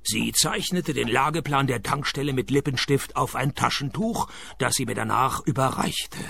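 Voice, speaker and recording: Gravelly, deadpan private eye. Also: male voice, mid-range voice, moderate pace, dry recording, heavily acted. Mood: deadpan, wry. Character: dry, laconic, cynical male private detective. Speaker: lead detective and narrator. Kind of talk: first-person hardboiled radio play narration. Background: music.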